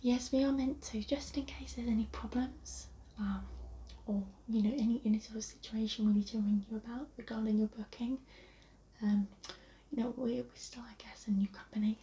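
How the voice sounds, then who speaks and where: A woman with an English accent speaking softly and breathily, often near a whisper, in a polite, reassuring, unhurried ASMR receptionist roleplay. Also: on the high side. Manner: polite, reassuring, unhurried customer-service talk. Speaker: ASMR host playing a receptionist. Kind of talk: soft-spoken ASMR roleplay. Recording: lo-fi, muffled, noticeable background hiss.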